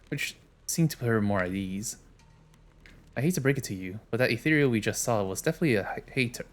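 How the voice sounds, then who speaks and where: A man streaming a game, casually reading its dialogue aloud with wry, offhand jokes. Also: lowish voice.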